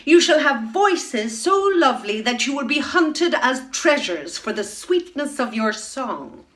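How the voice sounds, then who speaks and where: A woman's voice: high-pitched, big pitch swings, a little slow, a bit bright, pitched above the performer's own voice, full character voice. A woman voicing a jealous evil queen and sorceress from a storybook, gloating and commanding as she casts her curse. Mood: triumphant.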